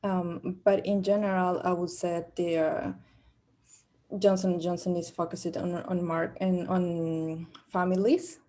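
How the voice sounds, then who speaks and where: A young Peruvian woman with a Spanish accent answers interview questions in English, her second language. Her voice sits a little low and stays flat, with a mellow, darker tone. She hesitates often, restarting phrases and filling pauses with "uh" and "um.". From Peru. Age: young adult. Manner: hesitant, many fillers and restarts. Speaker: job candidate. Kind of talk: practice job interview.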